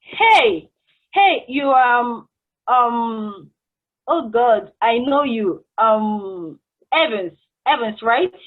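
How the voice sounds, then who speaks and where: A woman's voice: fairly high pitch, expressive, drawn-out words, full character voice. A woman playing a friendly, curious, somewhat awkward drive-through cashier in a casual play reading, speaking hesitantly and informally. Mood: flustered, starstruck. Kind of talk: casual table read of a stage play. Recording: muffled, phone-like band-limited call audio.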